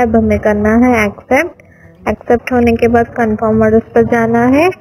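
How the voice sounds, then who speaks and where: A woman talks viewers through an app one step at a time, her voice sitting a little high. She calls her audience friends and explains each tap plainly. Soft music plays faintly under her voice-over. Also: rough recording. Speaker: app tutorial presenter. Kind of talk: screen-recorded app walkthrough. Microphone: voice-over over screen recording.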